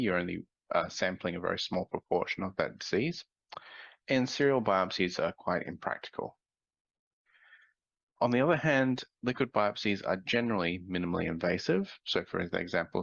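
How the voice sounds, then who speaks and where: A man presenting a scientific conference talk, sounding nasal from a cold, explaining in a measured way with frequent ums. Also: low-pitched, gravelly, fairly bright tone, dry recording, lo-fi sound.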